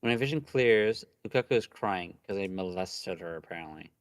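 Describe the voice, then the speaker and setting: A man's voice: low-pitched, lively intonation, a little fast, a little hiss or hum. A man reading a visual novel aloud on a stream and chatting casually about it, with crude, slangy joking. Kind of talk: casual playthrough chat mixed with reading aloud.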